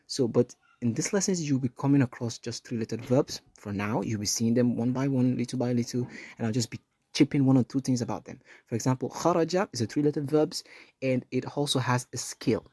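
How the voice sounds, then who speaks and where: A man's voice: mid-range voice, brisk, mellow, breathy edge, no echo. A man teaching an Arabic lesson, explaining each rule patiently and repeating points with small fillers.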